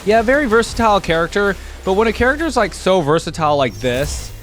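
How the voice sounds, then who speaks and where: A man's voice: sits high, big pitch swings, fast, fairly bright tone, faint music behind. A man hosting a reaction video with casual, conversational commentary, thinking aloud and qualifying his opinions as he goes.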